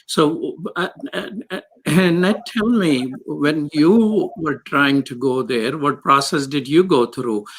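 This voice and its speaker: A man's voice: a little high, takes it slowly, hardly any pauses, rough recording. A man hosting a talk show, asking his guests questions with some hesitation. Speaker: host. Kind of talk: interviewing guests.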